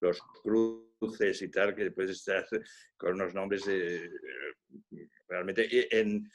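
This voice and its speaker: A man in an audience on a video call, talking in a rambling way with halting restarts. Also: deep voice, steady tone, slight background noise. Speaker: audience member. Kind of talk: casual chat in a video call.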